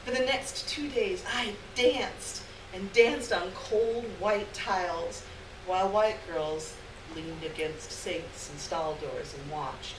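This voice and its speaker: A woman giving an expressive live reading of a short story on stage. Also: on the low side, slow. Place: indoor stage reading before a live audience. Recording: echoey, noisy live room, distant mic.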